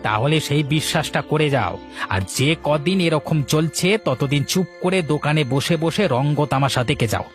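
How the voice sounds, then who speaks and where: A man voicing a calm, self-assured village food seller in a moral cartoon, patiently persuading with rhetorical questions. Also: a little high, normal speed, faint music behind, natural voice. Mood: confident, reassuring. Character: calm, confident village food seller, reassuring his worried wife. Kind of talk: Bengali moral cartoon dialogue.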